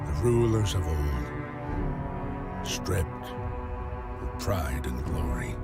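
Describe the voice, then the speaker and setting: A man's voice: low-pitched, big pitch swings, full character voice. A man voicing the solemn narrator of a game's opening cinematic, a grave survivor telling of the world lost to frost. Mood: somber. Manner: slow, somber, measured. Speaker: game intro narrator. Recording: game cinematic with loud music. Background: loud orchestral music.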